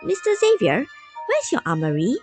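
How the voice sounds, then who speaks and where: An eager, wide-eyed boy of seven , acted out by a woman in a storybook tale. The pitch sits high and leaps about, with faint music underneath. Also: huge pitch swings, moderate pace, a little mellow. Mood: curious.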